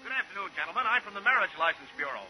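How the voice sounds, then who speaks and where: A man's voice: sits high, lively intonation, rapid-fire, bright, forceful tone, heavily acted. A man playing an officious, formal marriage license official in a 1940s radio comedy. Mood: brisk, officious. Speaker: supporting comic actor. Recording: slightly noisy old radio recording.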